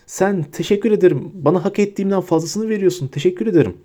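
A man voicing a sniveling, terrified middle-aged traitor who begs and stammers in a high, whining voice. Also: fast, warm tone. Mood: groveling.

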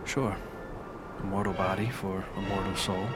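An actor playing a wry, stubborn, guarded mortal hero in a radio drama. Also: male voice, low voice, flat delivery, moderate pace, loud music behind the voice, close to an everyday voice. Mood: suspicious, resolute.

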